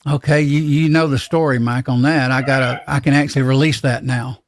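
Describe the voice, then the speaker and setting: A man hosting a casual livestream chat, talking in a rambling, halting, folksy way. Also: mid-range voice, steady tone, dry recording, clean studio sound. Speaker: livestream host.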